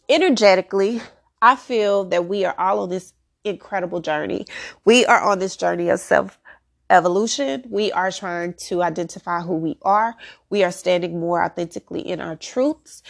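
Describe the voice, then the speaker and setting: A woman's voice: mid-range voice, gravelly. A woman hosting a spiritual podcast, sounding nasal from a head cold, speaking earnestly and encouragingly with the odd "um". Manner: earnest and encouraging, with filler ums. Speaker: podcast host. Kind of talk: spiritual astrology podcast talk. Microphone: solo audio podcast recording.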